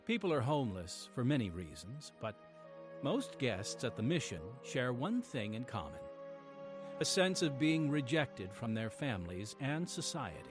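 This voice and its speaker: A man narrating a scripted radio drama as its host, earnest and measured. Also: medium pitch, big pitch swings. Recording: faint music behind the voice.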